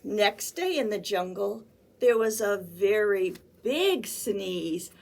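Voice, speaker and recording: A woman's voice: medium pitch, animated, takes it slowly, gravelly, a little mellow, slight background noise. A woman reading a children's picture book aloud as a storytime librarian, with theatrical, sing-song emphasis. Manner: theatrical, sing-song emphasis with acted animal sounds and sneezes.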